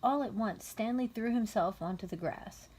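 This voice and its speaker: A woman reading a children's story aloud, pausing to explain things simply and patiently to a young child. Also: fairly high pitch, a little mellow, husky. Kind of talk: read-aloud story narration with casual asides to a child.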